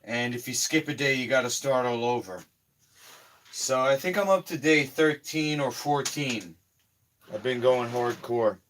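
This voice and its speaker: Hoarse, slow-talking man. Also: mid-range voice. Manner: slow, rambling, trailing off quietly. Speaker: health vlogger. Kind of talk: casual rambling vlog talk.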